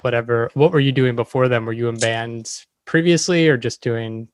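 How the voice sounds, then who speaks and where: A man's voice: mid-range voice, normal speed, no echo. A man hosting a podcast, asking questions in a casual interview style.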